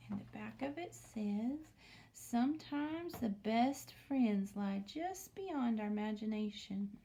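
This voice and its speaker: Woman teacher, children's read-aloud, unhurried pace, slightly high pitch, often hushed, chatty asides to young listeners. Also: lively intonation, a little hiss or hum. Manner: often drops into a hushed near-whisper; asks the listening children questions. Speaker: teacher reading aloud to students.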